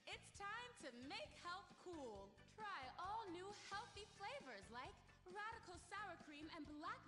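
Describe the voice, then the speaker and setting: An actress playing a hyper-enthusiastic young female snack pitchwoman in a parody game commercial, with perky, exaggerated salesmanship. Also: heavily acted. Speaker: snack advert announcer. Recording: echoey, music underneath.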